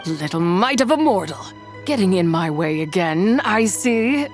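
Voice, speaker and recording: Slow, wrathful brooding spirit. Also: female voice, lowish voice, animated, soft background music. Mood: disdainful. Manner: intense, ominous. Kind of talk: game cutscene acting.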